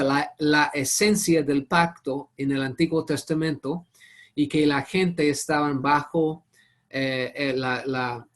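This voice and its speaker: A man with English as his first language leading an online Bible study over a video call, often halting and searching for words. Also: on the high side. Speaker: Bible teacher.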